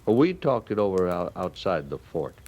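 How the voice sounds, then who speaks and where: Deep-voiced, humbled cavalry sergeant. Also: male voice, very animated, a little mellow. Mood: humble, halting. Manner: halting, deferential, formal. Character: humbled, earnest male cavalry sergeant, formal and contrite. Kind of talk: 1950s radio western acting. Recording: noisy vintage radio recording.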